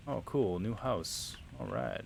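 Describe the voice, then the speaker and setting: A Dutch man streaming a game, chatting to his viewers in a relaxed, rambling way. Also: fairly low pitch, takes it slowly, creaky, slight background noise. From Netherlands. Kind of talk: casual livestream commentary.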